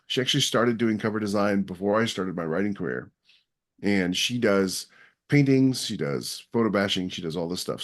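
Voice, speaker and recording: Emphatic low-voiced man explaining on a podcast. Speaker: podcast co-host. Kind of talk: casual podcast chat.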